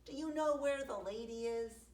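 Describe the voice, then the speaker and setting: A woman's voice: a little high, normal speed, darkish tone, distant mic, lightly acted. A woman voicing a lost, confused little pet dog, anxious and plaintive, in an echoey room. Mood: worried.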